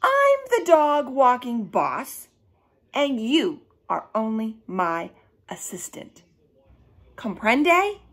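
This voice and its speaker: Woman playing a bossy, hot-tempered storybook girl, high-pitched. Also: wildly swooping pitch, exaggerated voice. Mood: stern, bossy. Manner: emphatic, commanding, drawn-out words. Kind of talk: children's storybook read-aloud.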